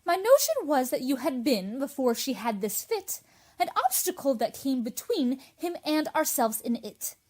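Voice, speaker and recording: A woman's voice: high-pitched, big pitch swings, normal speed, darkish tone. A woman in a radio play acting as a fussy, deferential court herald with a formal delivery. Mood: formal, reciting.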